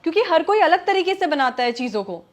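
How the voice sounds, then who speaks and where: A woman's voice: sits high, animated, brisk. A young woman with an Indian accent acting a proud, easily stung, outspoken wife in a domestic drama. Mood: angry. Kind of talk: scripted domestic drama acting in Hindi with some English.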